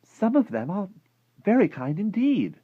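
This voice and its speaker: A man voicing a feisty, indignant, excitable young girl who argues insistently in a children's storybook. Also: high voice, measured pace, breathy edge, no echo, phone-quality sound, voice pushed up, exaggerated voice. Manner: insistent, exclamatory, argumentative. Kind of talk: animated children's storybook character reading.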